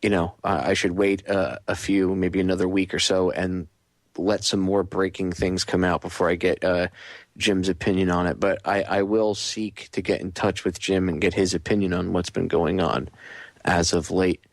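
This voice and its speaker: A man's voice: sits low, level tone, normal speed, no echo. A man hosting a radio show, talking casually and directly to his listeners.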